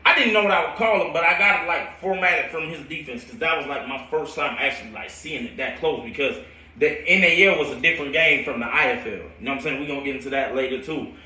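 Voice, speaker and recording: American guy rambling stories on a stream, slangy n animated, really bright voice, echoey room. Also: fairly high pitch, quick pace. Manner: animated, slangy, laughs often. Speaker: football coach hosting a storytelling show. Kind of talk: casual, rambling storytelling on a live stream. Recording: roomy echo, distant from the mic.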